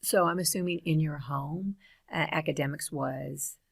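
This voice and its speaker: Very low, gravelly, husky woman. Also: moderate pace. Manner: encouraging, frequent short affirmations. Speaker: podcast host. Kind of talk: casual interview conversation.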